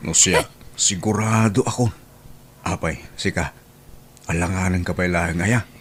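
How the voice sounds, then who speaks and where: A man's voice: low voice, a little slow, slight background noise. A man playing an eager young suitor in a comedy drama, with an Ilocano accent. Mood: earnest, insistent. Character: eager young male suitor courting his sweetheart.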